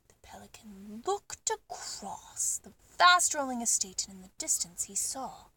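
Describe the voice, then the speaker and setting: A woman reading a children's book aloud as an expressive storyteller. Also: medium pitch, unhurried, slightly rough, in a whisper. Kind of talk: expressive scripted narration.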